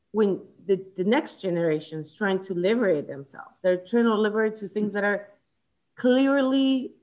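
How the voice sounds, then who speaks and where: A woman from Chile discussing a book in casual conversation, with frequent fillers, restarts and hesitations. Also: medium pitch. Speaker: book club member.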